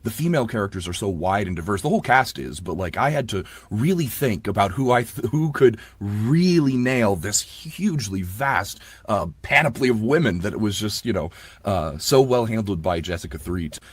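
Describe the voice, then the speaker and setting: A man who works as an audiobook narrator, chatting casually in an interview in a rambling, halting way with many restarted sentences. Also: fairly low pitch, expressive, breathy edge, no room sound.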